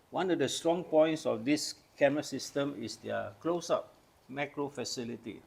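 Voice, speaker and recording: A man's voice: medium pitch, creaky, a little hiss or hum. An older man from Malaysia casually demonstrating old cameras, explaining each step patiently. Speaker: camera collector. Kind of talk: casual show-and-tell demonstration.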